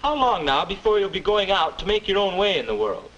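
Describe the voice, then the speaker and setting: Casting: persuasive young American mentor of twenty-one, very animated delivery, muffled 1950s educational film sound. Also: male voice, high voice, fairly quick. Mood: instructive, persuasive. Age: young adult. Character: confident 21-year-old future self, patient persuasive advisor.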